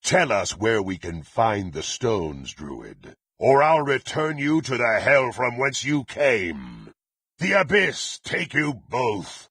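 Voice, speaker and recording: An actor playing a brutal, bloodthirsty fantasy warrior in a video game, haughty and threatening, with a gruff, raspy voice and formal archaic diction. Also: male voice, medium pitch, big pitch swings, slow, fairly bright tone, dry recording, clean studio sound, full character voice. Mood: cold menace. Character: brutal, menacing, bloodthirsty male warrior, contemptuous and impatient. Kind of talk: theatrical fantasy game voice acting.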